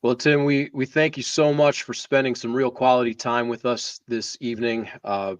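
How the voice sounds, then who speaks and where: A man's voice: medium pitch, steady tone, normal speed, clean tone, no echo. A man co-hosting a sports live stream in a casual, conversational way.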